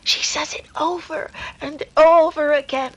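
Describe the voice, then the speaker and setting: A woman playing a solemn lady who recounts eerie legends in a hushed, dramatic way, in a 1930s-style radio drama. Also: sits high, steady tone, unhurried, highs cut off. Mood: grave, mysterious. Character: Miss Nixon, eerie solemn woman telling a ghost legend.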